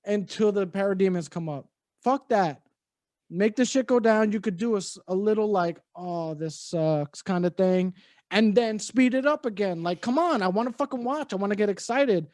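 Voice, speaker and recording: Guy with a high mellow voice ranting about a movie, cussing a lot, dry close mic. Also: normal speed, no room sound. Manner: blunt, profane, rambling with restarts. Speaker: podcast cohost. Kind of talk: casual movie review chat.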